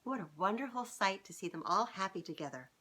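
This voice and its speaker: A woman's voice: mid-range voice, animated, brisk, background noise. A middle-aged woman reading a children's storybook aloud, speaking expressively and directly to young listeners. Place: small furnished living room, indoors.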